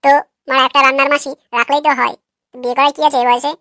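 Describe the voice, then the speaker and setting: Cartoon young heckler, male, voiced by a woman: sceptical, crude, high-pitched, racing delivery. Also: flat delivery, racing, bright, forceful tone, no echo, studio quality. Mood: mocking. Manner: taunting, foul-mouthed. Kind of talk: comic cartoon dialogue.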